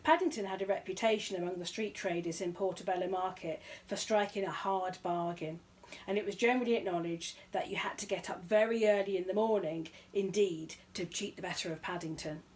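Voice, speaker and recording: A woman's voice: lowish voice, brisk, poor audio. A woman reading a children's story aloud as storyteller, in scripted narration.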